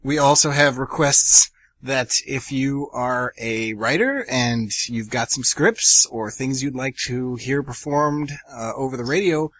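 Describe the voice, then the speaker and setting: Young man, radio host, bright clear voice, energetic, chatty, dry studio sound. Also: medium pitch, unhurried, no echo, low-quality recording. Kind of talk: radio show hosting.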